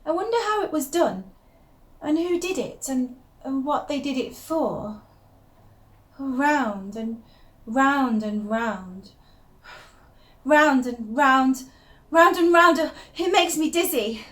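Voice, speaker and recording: A woman's voice: high voice, expressive, slow, long pauses, exaggerated voice. A woman playing an unravelling, obsessive young wife who confides her secrets in a theatrical, intense first-person monologue. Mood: agitated, marvelling. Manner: confiding, intense, theatrical, with shifts from hushed to emphatic.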